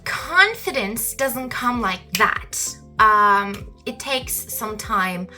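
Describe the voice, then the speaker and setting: A young woman, hosting a solo podcast and talking thoughtfully with frequent pauses. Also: on the high side, lively intonation, pushed and bright, soft background music. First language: Turkish. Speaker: podcast host.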